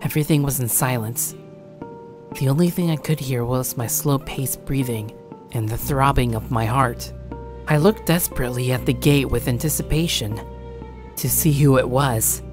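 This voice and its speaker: A woman's voice: very deep voice, moderate pace, music in the background. A woman giving a scripted first-person horror story narration.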